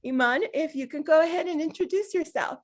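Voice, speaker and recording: High-voiced upbeat woman hosting a meeting. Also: a little fast. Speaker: meeting host for a nonprofit women's group. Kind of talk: casual online meeting announcements.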